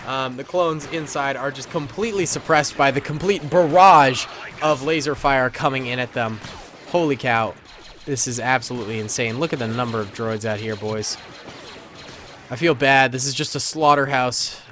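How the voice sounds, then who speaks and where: A man's voice: medium pitch, normal speed, a bit bright, a little hiss or hum. A man hosting casual commentary over a battle simulator game, playful and slangy with frequent chuckles, his nose stuffed up from a cold. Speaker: gaming channel host.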